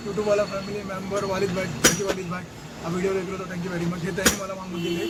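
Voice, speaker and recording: A man with a Maharashtrian Indian accent talking casually in an unboxing vlog, informally mixing in English words. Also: sits high. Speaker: vlogger. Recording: very noisy, outdoor traffic-like background noise.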